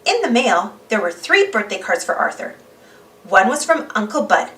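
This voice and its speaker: A woman reading a children's story aloud as an animated narrator. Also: fairly high pitch, moderate pace, far from the mic. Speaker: storybook reader.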